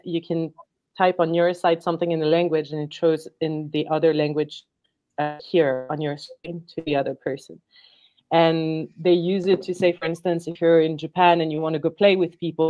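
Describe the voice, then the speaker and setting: Low, mellow-voiced woman on a panel. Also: no room sound, highs cut off. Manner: rambling, conversational. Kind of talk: panel discussion.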